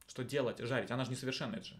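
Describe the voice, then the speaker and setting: A man in his thirties talks in a studio at a middling pitch. He thinks aloud in a casual, colloquial way, often questioning as he goes, like a teacher working through a task. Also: rapid-fire. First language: Russian. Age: 30s. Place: small indoor studio.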